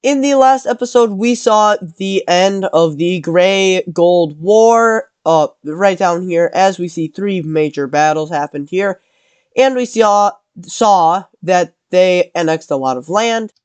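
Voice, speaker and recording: A man's voice: sits high, slow pace, a little mellow, clean tone, no echo. A man with a cold narrating a map scenario in casual commentary, rambling and correcting himself as he goes. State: has a cold.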